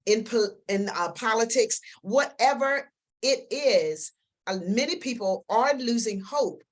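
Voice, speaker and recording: A woman hosting an interview, encouraging and affirming her guest with lively exclamations. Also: a little high, measured pace. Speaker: host. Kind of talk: casual interview.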